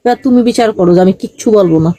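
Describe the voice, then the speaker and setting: Fast-talking young woman. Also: mid-range voice, dark tone, dry recording. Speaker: livestreamer. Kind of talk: casual video-call chat.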